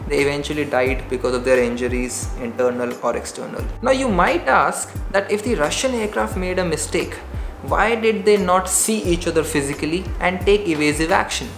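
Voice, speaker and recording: A man's voice: fairly high pitch, creaky, a bit bright. A young man with an Indian English accent, earnestly explaining an aviation story to camera as a pilot. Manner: earnest, gestures a lot while explaining. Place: indoor room with shelves. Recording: roomy echo, faint music behind.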